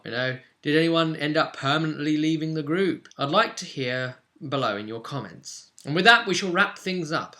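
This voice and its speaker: A man's voice: fairly high pitch, pushed and bright. A man hosting a vlog as a game master, recapping a tabletop roleplay session in a rambling, blunt, conversational way. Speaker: game master and video host.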